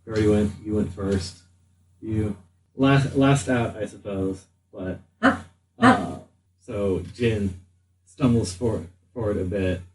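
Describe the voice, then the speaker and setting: A man improvising narration as the game master of a tabletop game, often pausing mid-sentence. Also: on the low side. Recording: noisy room, distant mic.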